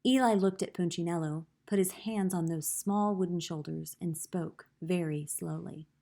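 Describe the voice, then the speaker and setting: A woman narrating a children's picture book in an expressive read-aloud style. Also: lowish voice, moderate pace, gravelly. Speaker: storybook reader.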